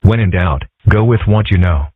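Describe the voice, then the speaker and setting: A man voicing a calm young boy in a cartoon comic dub, understated and deadpan. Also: deep voice, normal speed, husky. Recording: muffled narrow-band phone-like sound.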